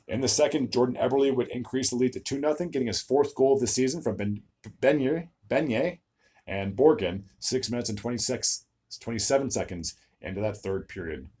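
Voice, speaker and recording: Man recapping hockey, slightly raspy voice. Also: medium pitch, brisk, warm tone. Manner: stumbles over player names, frequent "uh" fillers. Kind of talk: solo sports recap video.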